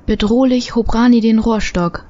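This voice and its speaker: A woman's voice: fairly high pitch, fairly even intonation, normal speed, warm tone, muffled recording, close to an everyday voice. A woman giving scripted, dramatic narration for a radio play. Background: music.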